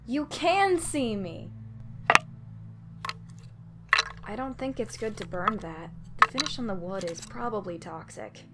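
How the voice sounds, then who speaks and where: A woman's voice: medium pitch, lively intonation, normal speed, close to an everyday voice. A woman voicing a curious, chatty, playful young girl ghost in a fan comic dub. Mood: curious, indignant. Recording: music behind the voice.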